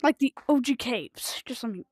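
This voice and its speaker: A woman chatting casually and rambling as a livestreamer playing a video game, telling stories in an informal, excitable way. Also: fairly high pitch, normal speed, no room sound, studio quality. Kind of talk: rambling casual livestream chat while gaming.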